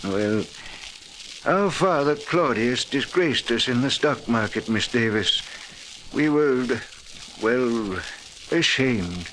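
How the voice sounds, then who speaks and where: An actor in 1940s radio style playing a courtly, secretive Victorian gentleman with formal, courteous speech. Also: medium pitch, lively intonation, slow pace, pauses often, low-quality recording. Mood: confiding. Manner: courteous, formal, smooth-talking. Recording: hissy old 1940s radio recording.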